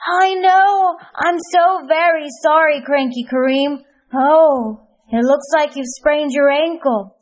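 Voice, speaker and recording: A woman's voice: high-pitched, takes it slowly, hardly any pauses, voice pushed up, low-quality recording. A woman doing a flustered, apologetic jogger. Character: apologetic, energetic male jogger character.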